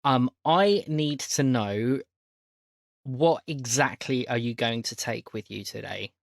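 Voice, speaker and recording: A man acts as game master in a casual tabletop session. His voice is medium in pitch, easygoing and a bit hesitant, recorded close and dry. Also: slow, creaky, no echo.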